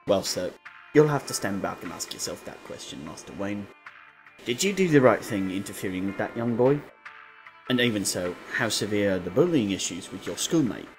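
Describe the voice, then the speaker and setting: A man playing an older butler in an audio drama, formal, measured and deferential as he gives patient advice. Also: lowish voice, lively intonation, soft background music, low-quality recording. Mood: reflective, advising. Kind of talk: amateur audio drama acting.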